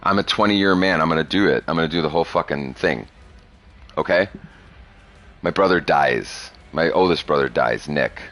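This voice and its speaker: Deep-voiced profane man in his thirties. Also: normal speed, a bit bright. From Chicago area. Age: 30s. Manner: profane, blunt, rambling. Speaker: podcast host. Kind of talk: casual chat.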